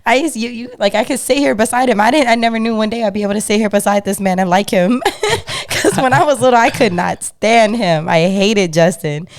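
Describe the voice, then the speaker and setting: A woman's voice: a little high, animated, brisk. A woman in her late twenties co-hosting a casual podcast, candid and teasing, talking straight to the listeners. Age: 20s. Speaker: podcast co-host. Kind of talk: casual chat.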